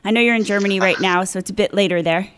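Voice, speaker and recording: A woman's voice: medium pitch, steady tone, racing, a bit bright, slight background noise. A woman hosting a podcast interview, delivering prepared introductions and questions. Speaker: podcast host.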